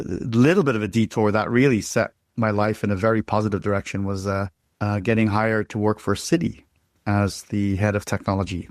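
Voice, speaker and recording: A man's voice: fairly low pitch, dry recording, clean studio sound. A middle-aged man from Ireland with an Irish accent, giving a rambling interview answer with frequent restarts and fillers. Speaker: guest.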